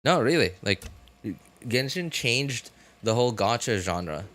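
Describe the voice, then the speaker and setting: A young man doing casual livestream commentary on a game, rambling and halting as he repeats himself. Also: mid-range voice, big pitch swings, a bit bright. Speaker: game streamer.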